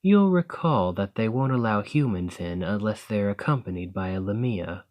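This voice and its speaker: A man voicing a calm, gracious male lamia noble merchant in an ASMR roleplay, speaking softly in a measured, formal way. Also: fairly low pitch, muffled recording, natural voice. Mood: reminiscing. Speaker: boss speaking to the listener.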